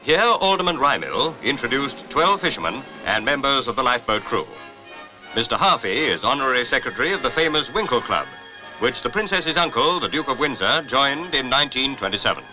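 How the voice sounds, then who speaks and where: A man's voice: mid-range voice, animated, brisk, low-quality recording. A man with a Received Pronunciation accent reading scripted 1950s newsreel commentary in a jaunty, formal, clipped manner. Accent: British Received Pronunciation. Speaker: newsreel narrator. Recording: vintage newsreel soundtrack with music behind.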